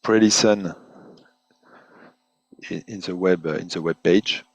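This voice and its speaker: Man, webinar presenter, unhurried pace, hesitant step-by-step phrasing, repeated words, close dry sound. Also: deep voice, no room sound, rough recording. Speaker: product presenter giving a software demo. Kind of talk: webinar demonstration, unscripted walkthrough.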